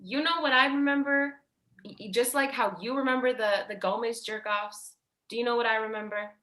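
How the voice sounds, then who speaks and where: An actress playing a tired, protective, foul-mouthed older sister in a naturalistic staged play reading, hesitant, guarded and blunt. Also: female voice, fairly high pitch, fairly even intonation, brisk, bright, forceful tone, reverberant. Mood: defensive, irritated.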